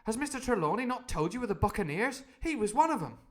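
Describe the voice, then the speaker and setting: Guy doing a big shouty pirate landlord, bossy, voice swinging all over. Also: sing-song, fast. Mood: agitated. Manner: booming, blustering, sailor's speech. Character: loud gruff male pirate landlord, domineering.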